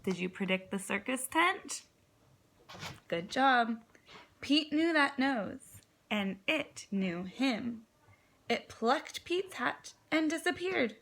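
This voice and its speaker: A woman, a kindergarten teacher, reading a storybook aloud expressively, with dramatic pauses and encouraging questions for young listeners. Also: high-pitched, very animated, a bit bright. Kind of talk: children's read-aloud with prompting questions.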